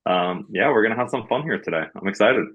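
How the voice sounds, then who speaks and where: Low-voiced guy from norway, podcast guest, rambly with ums, kinda muffled audio. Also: expressive, quick pace, husky. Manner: many fillers and restarts, earnest storytelling. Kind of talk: podcast interview.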